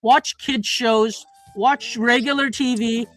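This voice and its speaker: High-pitched, brash, sweary man. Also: steady tone, slow pace. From born in South Asia, moved to Canada at nine. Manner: loud, brash, combative, sweary. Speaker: panel guest on a livestream. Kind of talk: casual banter, profane.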